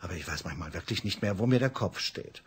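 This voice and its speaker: Animated, husky, driven engineer husband. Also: male voice, low voice, fast, exaggerated voice. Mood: placating, apologetic. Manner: expressive, alternately placating and insistent. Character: driven engineer husband, soothing yet obsessed with work. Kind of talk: German radio drama acting.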